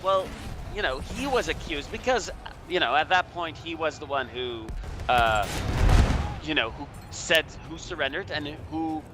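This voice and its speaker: A Belgian man giving casual, halting history commentary over a video game, explaining things hesitantly. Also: a little high, background noise. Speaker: guest commentator.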